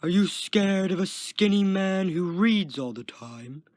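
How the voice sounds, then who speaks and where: A man's voice: takes it slowly, dry recording, clean studio sound. A man reading a story as a smug, uncaring prison guard with a sarcastic, dismissive manner. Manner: sarcastic, dismissive, low growled delivery.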